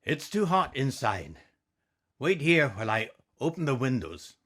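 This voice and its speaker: A male storyteller acts out a young father in a memoir. He is calm, kindly and practical, with a measured, reassuring delivery at a medium pitch. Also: breathy edge, full character voice.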